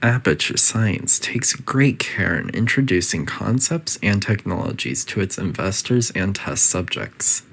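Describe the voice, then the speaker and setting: A man's voice: on the low side, animated, a little slow, gravelly, few pauses, a bit bright, exaggerated voice. A man voicing a coolly polite, faintly menacing facility AI that gives formal, detached test-chamber announcements in a video game. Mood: measured, instructive.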